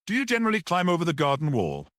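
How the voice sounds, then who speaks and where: A man voicing a brisk, suspicious police detective who questions sharply and gives orders in a story. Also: on the high side, wildly swooping pitch, no echo, studio quality, pitched above the performer's own voice. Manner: sharp, interrogating.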